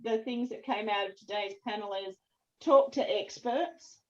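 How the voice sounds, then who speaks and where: Lawyer lady in her fifties summing up on zoom, kinda high voice, muffled laptop sound. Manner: brisk, joking, direct. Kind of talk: online panel summary. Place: home office.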